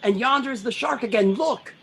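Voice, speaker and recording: An actor playing an eager, folksy ship's mate in an old-time radio play. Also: male voice, very high voice, normal speed, vocal fry, bright, forceful tone, slight background noise, exaggerated voice. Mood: eager, admiring.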